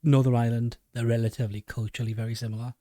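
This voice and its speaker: A man's voice: medium pitch, little pitch movement, racing, dark tone, dry recording, clean studio sound. A man with a Geordie accent hosting a podcast, chatting casually and often restarting his sentences. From England. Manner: rambling, many restarts. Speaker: podcast host. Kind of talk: casual chat.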